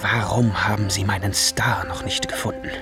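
A man narrating silent-film scene directions in a slow, measured way. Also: on the low side, steady tone, natural voice. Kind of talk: scripted narration. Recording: cello music behind.

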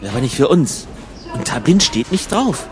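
A man's voice: mid-range voice, sing-song, normal speed, over music, close to an everyday voice. A man playing a matter-of-fact traveller who explains things eagerly in a conversational radio play. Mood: mildly exasperated.

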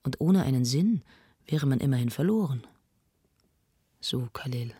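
Arts radio presenter, husky edge, dry sound, measured, analytical, precise scripted narration. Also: medium pitch, lively intonation, dark tone, no echo. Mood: analytical, absorbed. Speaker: radio host.

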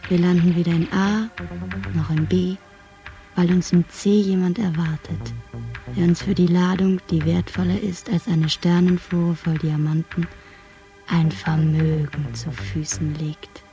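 An actress playing a wistful shuttle crew member in a science fiction radio play, musing in drawn-out phrases. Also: female voice, on the low side, little pitch movement, mellow, faint music behind. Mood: wistful daydreaming.

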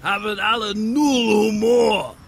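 Grumpy Prussian, sour griper, slightly high voice, slow, rhymed radio comedy. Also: male voice, steady tone, creaky, slight background noise, heavily acted. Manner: grumbling. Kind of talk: rhymed comic radio play.

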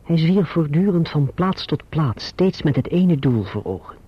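Earnest young gentleman, husky voice. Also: a little high, expressive, measured pace. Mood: composed, reflective. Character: David Copperfield, earnest, courteous young gentleman and writer. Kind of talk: Dutch radio play acting with first-person narration. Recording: muffled old radio recording.

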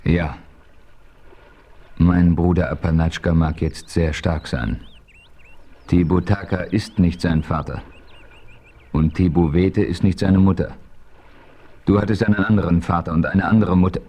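Casting: steady hero-narrator, very deep, husky voice, calm and firm. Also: male voice, unhurried, pauses often. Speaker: first-person narrator and hero. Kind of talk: 1970s radio play narration.

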